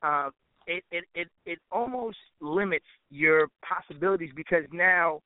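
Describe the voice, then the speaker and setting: A man's voice: a little high, normal speed, no echo, phone-quality sound. A man chatting casually as an interview guest, with informal, slangy phrasing and frequent "you know" asides. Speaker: interview guest, musician and producer.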